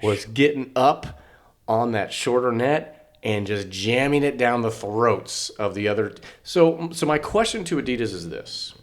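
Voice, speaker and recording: A hoarse man co-hosting a casual sports podcast, joking and rambling with lots of fillers. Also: mid-range voice, lively intonation, a little slow. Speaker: podcast co-host.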